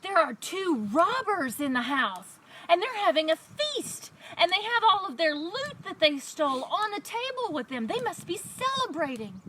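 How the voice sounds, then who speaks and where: A woman's voice: extremely high pitch, sing-song, normal speed, noisy background, pitched above the performer's own voice. A woman voicing a friendly, encouraging donkey in a children's story, eager and given to braying. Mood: excited. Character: friendly, encouraging donkey leader of animal band.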